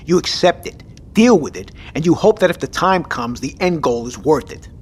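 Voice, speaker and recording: A resolute military captain in an audio drama, stern and commanding. The voice sits fairly high for a man and is husky, with big swings in pitch as he lays down orders. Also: lively intonation, moderate pace.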